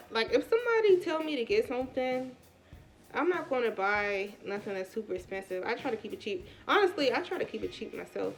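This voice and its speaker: An American woman vlogger with a congested, stuffy voice, chatting casually through a personal story in colloquial speech and acting out the dialogue as she goes. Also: fairly high pitch. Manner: colloquial, animated retelling with quoted dialogue.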